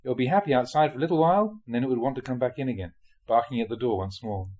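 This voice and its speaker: A man reading a spiritual talk aloud in a measured, explanatory way, often posing rhetorical questions. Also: mid-range voice, warm tone. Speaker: monk reading dharma talks aloud.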